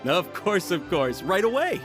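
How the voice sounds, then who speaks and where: A man voicing a smooth-talking tea merchant with a persuasive salesman patter. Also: sits high, sing-song, measured pace. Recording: game audio with music.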